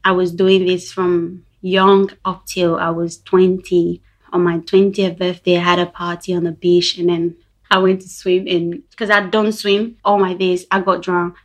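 A woman's voice: fairly low pitch, level tone, normal speed. A young woman from Liberia, candidly telling her personal faith story as a podcast guest and laughing easily. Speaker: guest sharing her testimony.

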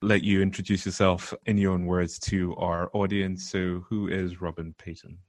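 A man's voice: low voice, little pitch movement, moderate pace, no room sound. A man hosting a podcast interview, asking questions in an upbeat, friendly way. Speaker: podcast host.

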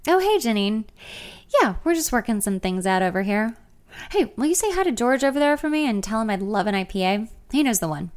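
A woman's voice: fairly high pitch, animated, brisk, close to an everyday voice. A woman voicing a smug, condescending male country musician in a story, taunting in manner.

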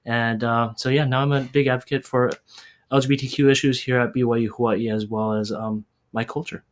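A young man from Southern California, a podcast guest in casual chat, pausing often with fillers and restarting his sentences. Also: mid-range voice, fairly even intonation. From Orange County, California. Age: young adult. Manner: hesitant, many fillers and restarts. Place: room in Hawaii. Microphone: shared earbud with the host.

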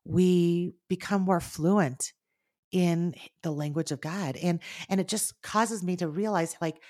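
Earnest animated woman co-host. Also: lowish voice, slow, no room sound, clean studio sound. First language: Mandarin. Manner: earnest, animated, speeds up in bursts. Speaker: podcast co-host. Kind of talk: casual faith podcast chat.

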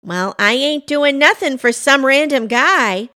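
A woman's voice: high voice, big pitch swings, measured pace, gravelly, a bit bright, dry recording, studio quality, pitched above the performer's own voice, exaggerated voice. A woman voicing a wary, plain-spoken, folksy small-town woman in a comic storybook tale. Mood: blunt, suspicious. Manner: blunt, folksy, exasperated.

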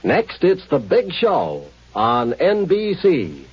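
A man's voice: a little high, huge pitch swings, slow pace. A man reading an upbeat network promo. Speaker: network announcer. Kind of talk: radio promo. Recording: dull old radio recording.

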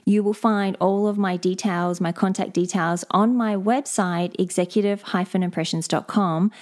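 A woman hosts her own podcast as a leadership coach. She explains things in a steady, measured way at a middling pitch, with nothing unusual in her delivery. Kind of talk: solo podcast monologue.

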